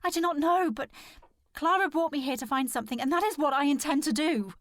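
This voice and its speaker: A woman playing a distressed, determined young gentlewoman in a period audio drama, speaking formally and earnestly. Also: sits high, fairly quick, no echo, close to an everyday voice. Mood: insistent, determined. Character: distressed, determined young gentlewoman searching for her missing sister.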